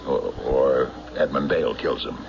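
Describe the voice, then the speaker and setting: An actor playing a stubborn small-town county sheriff in a 1970s radio drama, speaking in an emphatic, insistent, deliberate way. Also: male voice, a bass voice, creaky, noisy background. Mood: urgent reasoning. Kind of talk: 1970s radio drama acting, logbook narration and dialogue. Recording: dull 1970s radio recording, music behind.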